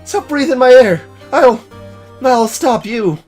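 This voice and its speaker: Woman playing a terrified child, loud and high. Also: lively intonation, slow pace, soft background music. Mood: desperate, enraged. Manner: desperate, loud cries.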